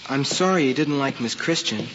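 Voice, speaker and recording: A man's voice: mid-range voice, animated, normal speed, natural voice. A young male actor with an American accent playing a polite, earnest schoolboy in an old radio drama, talking tentatively and respectfully to his elders. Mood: tentative, coaxing. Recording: dull hall-like vintage recording, faint noise.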